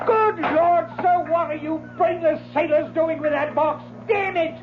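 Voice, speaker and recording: Agitated, high-pitched gentleman in radio drama. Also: a little slow, a little hiss or hum. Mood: frantic, angry. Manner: imperious, barking orders, flustered. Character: agitated, irritable, secretive newlywed gentleman traveler. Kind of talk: vintage radio drama acting. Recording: muffled vintage radio recording, music behind.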